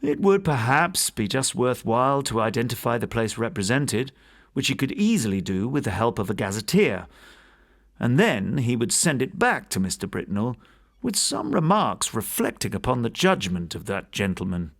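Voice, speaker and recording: A man reads a classic ghost story as an audiobook narrator. His pitch moves in a lively way across a middle register, while the delivery stays measured and literary, like formal storytelling.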